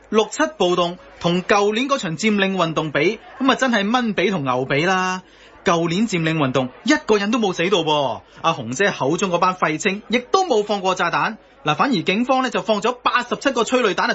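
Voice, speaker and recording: A man's voice: sits high, animated, brisk, fairly bright tone. An actor playing a cheeky, argumentative young man in a satirical radio drama, speaking Hong Kong Cantonese. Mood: teasing, explaining. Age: young adult. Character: cheeky young male cafe regular, quick to argue back. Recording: dull, band-limited broadcast audio.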